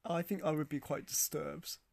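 Guy on a podcast, umms a lot, laughs, kinda high and gravelly. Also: steady tone, moderate pace, darkish tone, slight background noise. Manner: hesitant, giggly, rambling. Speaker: podcast co-host. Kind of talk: casual chat. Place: small soundproof recording booth. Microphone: studio microphone.

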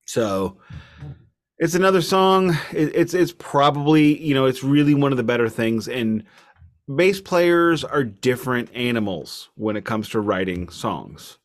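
A man's voice: medium pitch, animated, unhurried. A man co-hosting a casual music discussion, talking in a wry, emphatic and discursive way. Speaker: album review podcast co-host.

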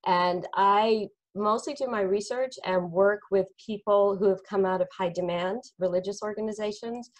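A woman's voice: mid-range voice, moderate pace, few pauses, low-quality recording. A woman hosting an informal online discussion, explaining things in a conversational way like a counselor and lecturer. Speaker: interview moderator, counselor and lecturer. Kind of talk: informal online panel introduction.

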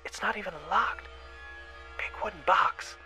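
Man voicing a whispery, high-pitched young woman thief. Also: unhurried, bright, forceful tone, soft background music, full character voice. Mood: hushed, uneasy. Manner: breathy whispering, slangy. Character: frightened young woman thief, profane, whispering over phone.

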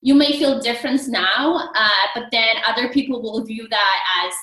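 Bright-voiced young woman with a Polish accent. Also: a little high, moderate pace, roomy sound, rough recording. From Poland. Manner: earnest, encouraging. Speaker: alumni mentor. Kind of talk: casual advice on a video call.

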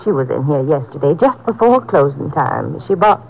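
A fussy, gossipy middle-aged saleswoman in a 1950s radio drama. Her voice swoops up and down as she hesitates and chatters, eager to help. The old recording is dull and clearly noisy. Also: low voice, expressive, moderate pace, a little mellow.

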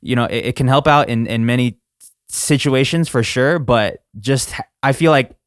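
A man hosting a casual interview, relaxed and full of fillers and agreeing noises. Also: mid-range voice, normal speed, clean tone, no room sound, clean studio sound. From United States. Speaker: podcast host.

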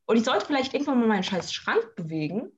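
Teen girl chatting from her flat, deadpan, kinda high voice, muffled lo-fi audio. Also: fast talker. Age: teens. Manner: dry, deadpan joking. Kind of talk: casual chat. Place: at home in her apartment.

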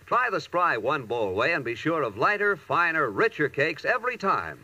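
A man's voice: big pitch swings, moderate pace, sharp tone, no room sound. A man reading a 1940s radio commercial as an emphatic announcer, on a lo-fi old recording. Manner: emphatic sales pitch.

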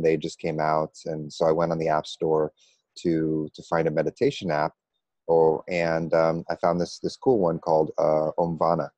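A middle-aged man with an American accent, telling his personal story conversationally with a halting, pause-filled delivery. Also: a bass voice, a little mellow, no room sound. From United States. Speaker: interview guest, meditation coach.

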